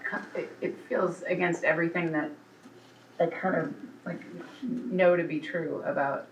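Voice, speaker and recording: A woman parent at a school meeting, speaking candidly and thinking out loud. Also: on the low side, fairly even intonation, a little slow, highs cut off. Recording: echoey room, distant, noticeable background hum.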